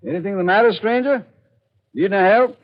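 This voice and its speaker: A man's voice: sits high, a little fast, lightly acted. An American man playing a friendly, teasing Western rancher in a folksy 1940s radio acting style. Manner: folksy, animated. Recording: muffled old radio, noisy car ambience.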